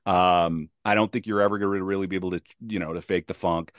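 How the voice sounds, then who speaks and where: A man's voice: low voice, steady tone, fast, smooth, dry recording, clean studio sound. A man talking casually as a podcast guest, opinionated and emphatic, often repeating himself to press a point.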